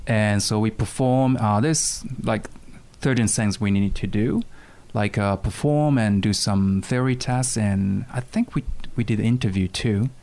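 A Japanese musician speaking English as a guest, hesitant and pausing often with ums as he searches for words. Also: on the low side, a little mellow. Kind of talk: casual interview.

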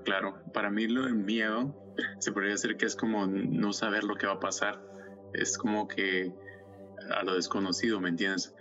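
A man's voice: on the low side, lo-fi sound. A man co-hosting a faith community podcast, talking casually and explaining ideas with rhetorical questions. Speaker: podcast co-host.

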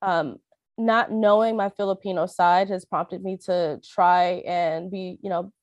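A woman's voice: fairly low pitch, fairly even intonation, a little slow, no room sound, highs cut off. A young woman sharing a personal story in a hesitant, reflective way, with many fillers and restarts. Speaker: interviewee.

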